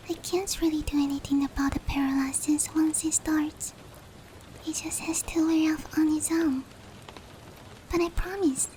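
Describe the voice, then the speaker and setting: A woman voicing a female sleep paralysis demon in a breathy, whispered roleplay ASMR style, with hushed, close, drawn-out phrasing. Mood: apologetic, tender. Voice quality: breathy, whispery, high-pitched. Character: female sleep paralysis demon, teasing menace turning tender. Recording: steady rain noise behind voice.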